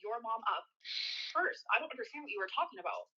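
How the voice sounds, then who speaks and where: Sharp, fast-talking woman on a dull call. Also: a little high, sing-song, husky. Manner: blunt, swearing. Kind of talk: confrontational casual phone conversation. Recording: dull lo-fi phone call recording.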